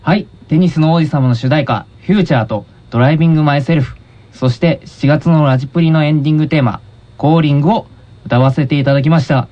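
A male guest singer introduces himself on the radio. His voice is mellow and somewhat high for a man. The sound is a little muffled. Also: quick pace. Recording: slightly muffled radio audio.

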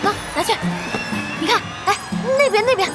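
An excitable girlish young woman in a radio drama, teasing and full of exclamations. Her very high voice swoops around over loud music. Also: moderate pace. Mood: excited. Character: excitable girlish young female fan and café boss.

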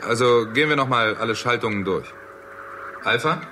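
An actor playing a brisk, businesslike male technician in a 1960s radio play, giving short procedural calls. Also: medium pitch, lightly acted. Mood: focused, brisk. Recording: clearly noisy old radio production.

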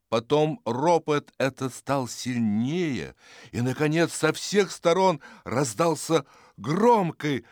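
A man reads a story as an audiobook narrator, in an expressive, suspenseful storytelling manner. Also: mid-range voice, no room sound, clean studio sound. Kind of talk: dramatic scripted story narration. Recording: music behind the voice. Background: music.

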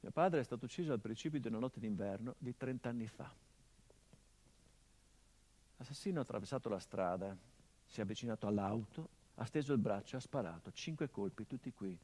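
Radio-drama monologue: male actor as a grieving son, Italian accent, middle pitch, brooding and low, with impassioned surges. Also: darkish tone, close to an everyday voice. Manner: intense, builds to impassioned outbursts then drops low. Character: grieving grown son of a murdered man.

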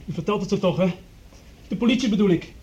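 A flustered, defensive young man, voiced by an actor in a radio play. His high voice pleads in a quick rush. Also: lo-fi sound, very noisy, close to an everyday voice. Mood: defensive, anxious. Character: nervous, defensive young male lab worker. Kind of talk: radio drama acting.

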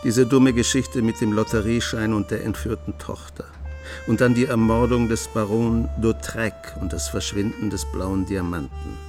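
An actor narrating a radio play and playing a curious writer, with calm, measured storytelling. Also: male voice, lowish voice, over music, lightly acted. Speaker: narrator and author figure.

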